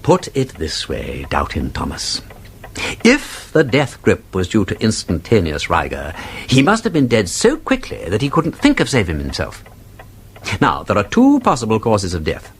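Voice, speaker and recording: An actor playing a witty, inquisitive gentleman sleuth in a radio drama, with an upper-class English accent and an urbane, argumentative, theatrical manner. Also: on the low side, huge pitch swings, moderate pace, slight background noise. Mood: keen, persistent. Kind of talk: British radio drama acting.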